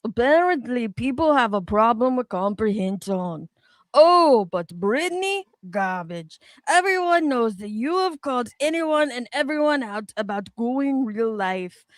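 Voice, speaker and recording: A woman's voice: fairly high pitch, animated, unhurried, dry recording. A woman doing dramatic readings of online comments with casual, irreverent, sweary banter and playful attempts at accents. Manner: irreverent, sweary, tries on rough accents for fun. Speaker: co-host reading comments aloud.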